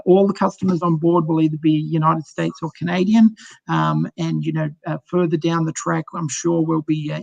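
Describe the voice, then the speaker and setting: A middle-aged Australian man on a video call, talking in a friendly, chatty way. His voice sits a little high and has a gravelly edge. The sound is dry and close. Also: dry recording, lo-fi sound. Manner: friendly, chatty, many ums and uhs. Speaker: cruise sales director. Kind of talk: casual video-call presentation.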